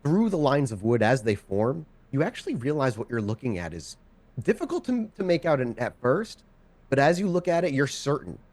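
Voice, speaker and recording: A man runs a tabletop role-playing game as its game master. He narrates in a halting, improvised way at a middle pitch, feeling for his words between descriptions and rules. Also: big pitch swings, a little mellow.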